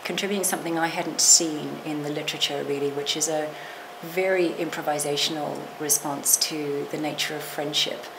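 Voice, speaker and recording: A woman's voice: sits low, creaky. A middle-aged woman, a literature professor, talking thoughtfully in an interview, qualifying herself with restarts and asides. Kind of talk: reflective interview talk. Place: small lecture room, seated. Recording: noisy room recording.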